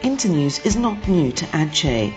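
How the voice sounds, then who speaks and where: Female documentary narrator, low register, scripted delivery over a clear music bed. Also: big pitch swings, normal speed. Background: background music.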